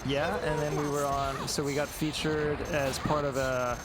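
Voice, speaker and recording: A man in a casual game chat, talking conversationally and a little haltingly as a guest team member. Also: on the high side, flat delivery, very noisy. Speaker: fan translation team member. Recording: loud music over the voice. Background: loud music.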